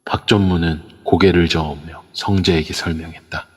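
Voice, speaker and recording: A man's voice: sits low, fairly even intonation, normal speed, breathy edge, long reverb tail. A man narrating an audio drama steadily from a script.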